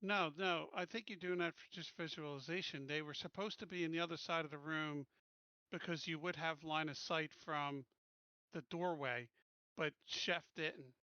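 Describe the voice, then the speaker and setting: Male tabletop player, crisp and forward voice, casual tactical talk, dry and slightly dull recording. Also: a little high, steady tone, normal speed, sharp tone, dry recording. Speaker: player in a tabletop roleplaying game.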